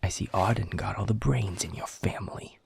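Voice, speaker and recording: A man voicing an aggressive, foul-mouthed werewolf pack beta in an audio roleplay, taunting and sarcastic, with a gravelly, husky voice. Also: deep voice, a little fast, darkish tone, slight background noise. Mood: sneering interrogation.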